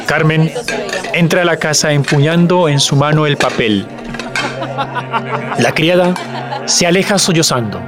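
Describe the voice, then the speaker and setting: A man's voice: on the high side, a little slow, pauses often. A man reading dramatic scripted narration as the narrator of an audio drama. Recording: loud music bed under narration.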